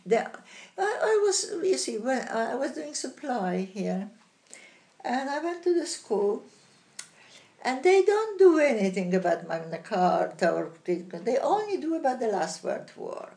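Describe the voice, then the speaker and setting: An older woman with a Polish accent, a retired teacher telling stories in an interview, lively and halting with many restarts. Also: on the high side, gravelly. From Poland. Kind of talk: interview storytelling.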